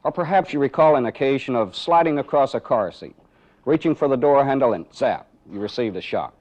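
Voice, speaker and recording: A man's voice: medium pitch, lively intonation, brisk, darkish tone. Dull-sounding archival male training film instructor. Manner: clear, methodical explaining with rhetorical questions. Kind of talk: instructional narration with live demonstration. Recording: dull, band-limited 1980s training film audio.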